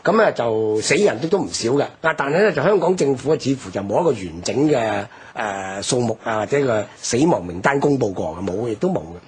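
A man's voice: medium pitch, expressive, fairly quick, hardly any pauses, a little mellow. An older man with a Hong Kong accent, recounting history in an emphatic, explanatory way on a radio talk.

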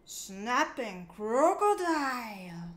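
High-pitched woman reading slowly to young children. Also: big pitch swings. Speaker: children's storybook reader. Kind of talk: read-aloud of a picture book for young children.

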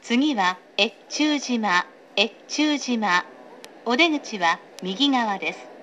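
Formal woman train announcer, high lilting voice. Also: animated, fairly bright tone, muffled recording. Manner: polite, formal, measured enunciation. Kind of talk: scripted recorded train announcement. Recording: recorded aboard a train, clear background noise.

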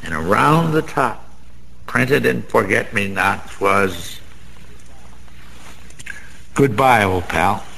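Slow, gravelly American gambler down on luck. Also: male voice, on the low side, lively intonation, sharp tone, exaggerated voice. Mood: wistful boasting. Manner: slangy, rambling, world-weary. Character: down-on-his-luck, bragging male small-time gambler, sentimental and bitter. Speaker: actor. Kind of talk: radio drama monologue. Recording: faint background noise.

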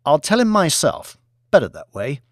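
A man's voice: a little high, very animated, normal speed, dry recording, studio quality, voice pushed up. A man voicing a coolly scheming executive in an audiobook, insistent and decisive as he gives orders. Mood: commanding. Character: commanding, coolly scheming male executive newly in power.

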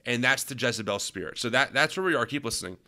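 A man in his thirties with a bright, forward voice, co-hosting a podcast over a video call. He talks through clips casually and animatedly, often restarting his sentences. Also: mid-range voice, fast. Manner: animated, restarts a lot, explains and narrates clips. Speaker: podcast co-host. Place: small room at home. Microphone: studio mic on arm, video call.

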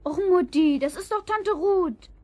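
A woman playing an excited little birthday girl in a 1970s radio play for children, chirpy and childlike. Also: extremely high pitch, unhurried. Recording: vinyl rip with music bed.

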